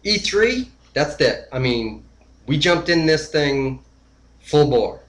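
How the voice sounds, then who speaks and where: A man's voice: fairly high pitch, sing-song, slow, a bit bright, echoey room. A man with a Boston accent co-hosting a casual gaming chat, enthusiastic and emphatic. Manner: enthusiastic, emphatic, repeats and finishes the other host's points. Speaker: gaming podcast co-host.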